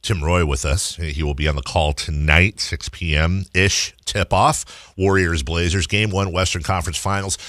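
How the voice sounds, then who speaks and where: Deep, bright-voiced male sports radio host. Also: fairly even intonation, normal speed, no room sound, studio quality. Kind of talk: sports talk interview.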